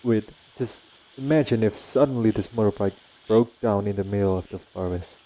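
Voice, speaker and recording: A man's voice: fairly low pitch, normal speed, warm tone, slight background noise, lightly acted. A young-sounding man with an Indonesian accent playing a polite college student in an amateur radio drama, speaking careful English. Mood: uneasy. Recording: dull, lo-fi track with music.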